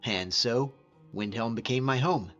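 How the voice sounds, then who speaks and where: A man playing a friendly, modest lone-wolf hunter as a video game companion, speaking in an earnest, measured way. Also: mid-range voice, fairly bright tone, highs cut off, faint music behind, lightly acted. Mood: reflective, candid.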